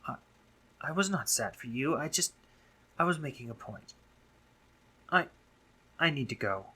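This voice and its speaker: A man playing a brooding, sardonic drider monster, a guarded but caring doctor, in an intimate roleplay audio drama, speaking formally with halting pauses. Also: mid-range voice, expressive, bright, forceful tone, husky, voice pushed up, full character voice. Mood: flustered.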